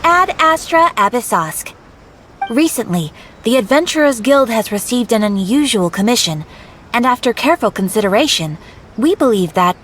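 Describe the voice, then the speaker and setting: A woman playing a polite, businesslike guild receptionist in a video game, speaking in a formal, earnest, measured way. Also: on the high side, very animated, a bit bright, slight background noise, low-quality recording. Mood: courteous. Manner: formal, courteous, measured briefing.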